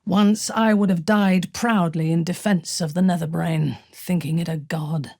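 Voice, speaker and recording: A woman playing a ruthless, imperious female dark elf warrior in a video game, with commanding, disdainful and measured delivery. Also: lowish voice, steady tone, dry recording, studio quality. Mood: grave, proud. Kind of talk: video game character acting.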